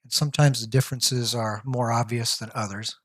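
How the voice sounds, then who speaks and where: A man giving a plain, step-by-step voice-over walkthrough of headset software, now and then dropping an "uh". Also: medium pitch, fairly even intonation, a little fast, no room sound. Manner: plain step-by-step explaining, occasional "uh" fillers. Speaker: tech product reviewer.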